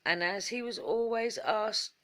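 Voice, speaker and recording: A woman reads a children's story for bedtime. Her delivery is slow and expressive, at a middling pitch, with each phrase set out in a measured way.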